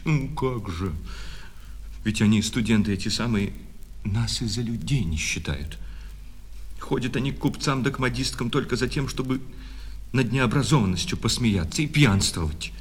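A man's voice: mid-range voice, husky. A man playing an obsequious, wounded male shop clerk in a radio play, switching between servile sales patter and bitter reproach. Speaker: actor. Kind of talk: radio play acting of a classic short story. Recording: reverberant hall, music behind.

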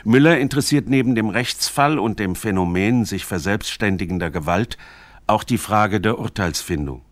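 A man reading scripted narration for a literary radio documentary in a measured, formal manner. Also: lowish voice, dry recording.

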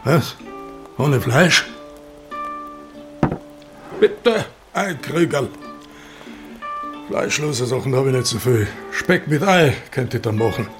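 A man playing a gruff, sceptical country innkeeper in an audio drama, plain-spoken with an Austrian accent. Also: medium pitch, animated, slow pace, long pauses, music in the background. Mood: puzzled, gruff. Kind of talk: audio drama dialogue in dialect.

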